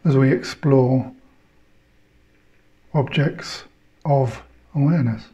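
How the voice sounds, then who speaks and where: A man leading a guided meditation in measured short phrases, leaving long pauses between them. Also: mid-range voice, mellow.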